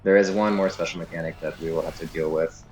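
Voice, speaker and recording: A man's voice: rumbling low, fairly even intonation, fast. A man giving a casual, unscripted video game walkthrough, explaining as he goes and often unsure of details. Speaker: guide narrator. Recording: slightly echoey room, game music underneath. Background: game music.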